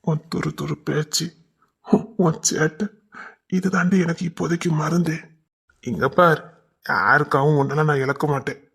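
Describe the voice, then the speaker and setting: Husky, emotional young lover played by an actor. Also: male voice, on the high side, fairly even intonation, normal speed, long pauses, a bit bright, exaggerated voice. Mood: tender. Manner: tender, pleading, emotional. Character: devoted, tearful young village man in love. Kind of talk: romantic drama acting.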